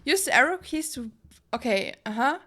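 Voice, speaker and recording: A young woman chatting casually on a livestream in an excitable, giggly manner. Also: high-pitched, moderate pace. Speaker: streamer.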